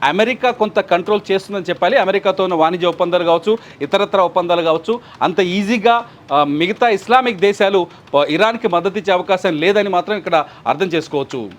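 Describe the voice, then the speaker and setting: A man's voice: high-pitched, quick pace. A man giving news analysis as a commentator, explaining and repeating points for emphasis.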